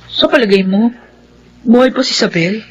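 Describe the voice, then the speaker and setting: A woman's voice: on the high side, moderate pace, dull audio, a little hiss or hum, natural voice. A woman with a Filipino accent playing an anxious, suspicious young woman in an emotive radio drama style. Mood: uneasy, insistent.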